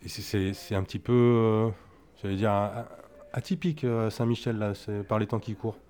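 A man hosting an interview who asks brisk, short questions and teases his guests. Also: fairly low pitch, fairly even intonation, darkish tone. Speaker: host, interviewer. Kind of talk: radio interview.

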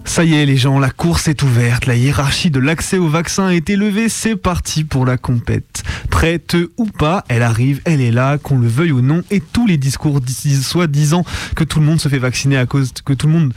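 A slightly high male voice, recorded close and dry. He co-hosts radio with slangy, outspoken commentary and casual chat. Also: normal speed, darkish tone, no echo. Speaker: radio show co-host.

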